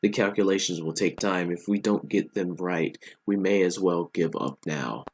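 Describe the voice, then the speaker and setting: A man voicing a strained, serious physicist astronaut in a story, grave and deliberate. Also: deep voice, husky. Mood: urgent.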